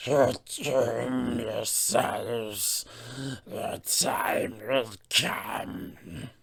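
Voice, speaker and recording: Tabletop villain: man playing an alien gnome mind flayer, haughty and menacing, delivering slow, formal pronouncements. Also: medium pitch, gravelly, a bit bright, heavily acted. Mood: dying rasp.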